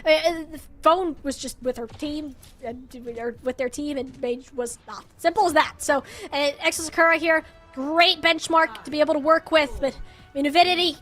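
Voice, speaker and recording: Casting: female esports commentator, high voice, conversational and analytical live match calls. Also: normal speed, a bit bright, soft background music.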